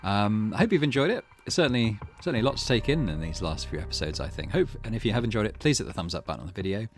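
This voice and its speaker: Low-voiced man over loud music. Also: expressive, racing. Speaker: gaming channel host. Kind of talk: casual let's play commentary with read-aloud game text. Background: game music.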